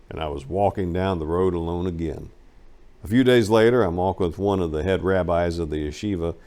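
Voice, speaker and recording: A man narrating a first-person memoir as a dramatic reading, with emphatic storytelling and some drawn-out phrases. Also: fairly low pitch. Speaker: narrator reading a book aloud.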